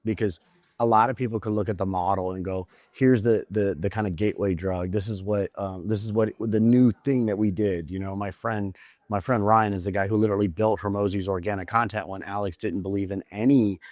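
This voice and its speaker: Male podcast host, lowish voice, dry room, rambles with i mean and kind of. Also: fairly even intonation, a little fast, darkish tone, no room sound. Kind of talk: podcast interview.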